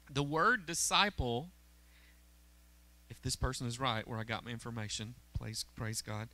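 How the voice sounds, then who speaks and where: Bright-voiced pastor preaching. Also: male voice, medium pitch, no echo. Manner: conversational preaching, checks in with the crowd. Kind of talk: sermon. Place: church auditorium stage, indoors. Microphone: handheld mic.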